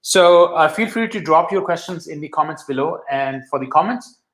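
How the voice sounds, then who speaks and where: A man's voice: on the high side, expressive, moderate pace, a bit bright. A man, a guest career adviser, explaining job searching in English with fillers and restarts. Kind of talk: live career talk show. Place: office with big windows, indoors. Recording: roomy office echo.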